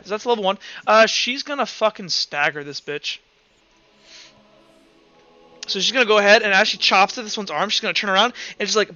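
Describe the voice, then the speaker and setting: A man narrating a tabletop roleplaying game as game master in an animated, casual, profane way. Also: high voice, lo-fi sound.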